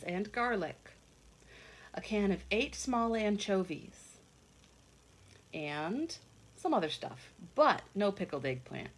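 A woman reads a children's picture book at an unhurried pace. Her pitch moves up and down a great deal in a sing-song, teacherly way, and she speaks as if to young listeners. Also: low-quality recording. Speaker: read-along storyteller for children. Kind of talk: expressive picture-book read-aloud with asides to young listeners. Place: indoor rooms at home.

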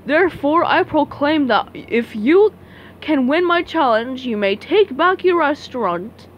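A woman's voice: high-pitched, lively intonation, a little slow, muffled recording, a little hiss or hum, pitched below the performer's own voice. A woman voicing a pompous, commanding male sea king who makes proclamations, in an amateur cartoon dub. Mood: proclaiming.

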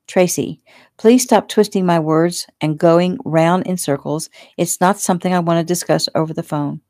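A woman narrator plays a weary young man in a romance audiobook. The voice is low and mellow with a creaky edge, earnest, halting and placating. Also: fairly even intonation, dry recording, clean studio sound, natural voice.

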